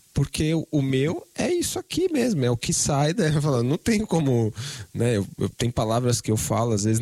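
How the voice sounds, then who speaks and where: Lively Brazilian speaker in dry, close sound. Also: mid-range voice, no room sound. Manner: affirming listener, lively and chatty. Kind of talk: casual conversation.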